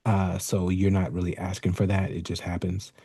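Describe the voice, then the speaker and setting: A middle-aged man with a deep, husky voice joins a casual, joking panel chat. His tone is dark and mellow, and the recording is close. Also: completely flat delivery, normal speed, no room sound. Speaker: podcast panelist.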